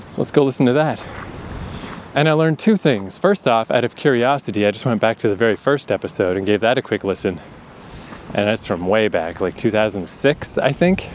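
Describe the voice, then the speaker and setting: A man's voice: fairly low pitch, expressive, fairly quick, slight background noise. Casual man with slight Atlantic Canadian accent. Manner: candid, swears freely, laughs often. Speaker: podcast host. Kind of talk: rambling casual monologue. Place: outdoors in a breezy urban area.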